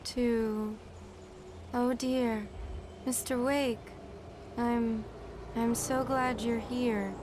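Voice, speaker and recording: Dazed young waitress fan, high halting voice, extremely slow, eerie adoration, video game drama. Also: female voice, little pitch movement, background noise. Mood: dazed, adoring. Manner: halting, dreamy.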